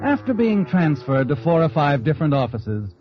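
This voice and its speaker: An actor playing a sinister, all-knowing American storyteller, narrating a 1940s radio mystery in a dramatic, insinuating way. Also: male voice, fairly high pitch, big pitch swings, moderate pace. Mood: ominous, insinuating. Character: sinister, taunting all-knowing male storyteller addressing the heroine. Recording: muffled vintage radio recording. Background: dramatic music.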